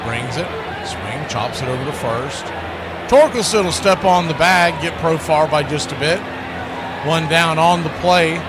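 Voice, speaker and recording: A man's voice: on the high side, measured pace. A man doing live radio play-by-play of a baseball game as the announcer. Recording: loud background noise behind the voice.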